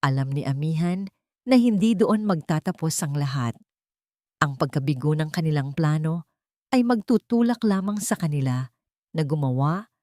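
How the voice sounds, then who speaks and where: Female Filipino narrator for scripted romance drama, lower register, very dry studio-like sound. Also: darkish tone, no echo. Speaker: story narrator.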